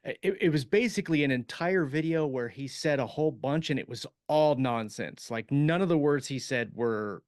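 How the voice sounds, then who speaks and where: A man co-hosting a podcast, chatting casually and haltingly as he thinks aloud about writing. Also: medium pitch, darkish tone, no echo, studio quality. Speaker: podcast co-host, science fiction author.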